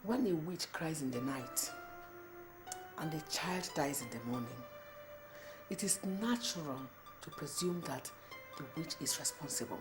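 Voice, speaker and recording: A woman acting a wife who questions her husband, measured and accusing. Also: sits low, lively intonation, music in the background. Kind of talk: melodramatic film acting.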